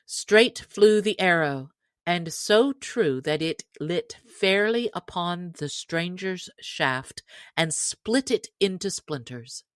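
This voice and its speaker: Female audiobook reader, unhurried scripted narration, medium pitch, dry and close sound. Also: expressive, no room sound.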